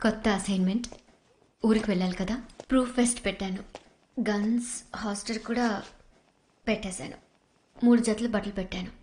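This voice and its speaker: Casting: actress as devoted young wife, earnest film-drama delivery, medium pitch, roomy sound. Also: female voice, steady tone, a little fast, pauses often, slight background noise, close to an everyday voice. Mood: earnest, worried. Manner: earnest, tender. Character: devoted, anxious, proud young wife of an agent. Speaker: film actor. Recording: echoey film audio, soft background score.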